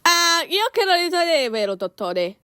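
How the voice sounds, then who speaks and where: A woman's voice: very high voice, very animated, moderate pace, sharp tone, no room sound, clean studio sound. A woman doing an amateur cartoon fan dub, acting out several characters in an exaggerated, exclamatory way.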